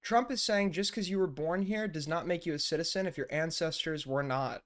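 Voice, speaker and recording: A man's voice: a little high, brisk, fairly bright tone. A young man giving casual live-stream commentary, rambling and blunt, with lots of fillers and swearing. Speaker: streamer reacting to news clips.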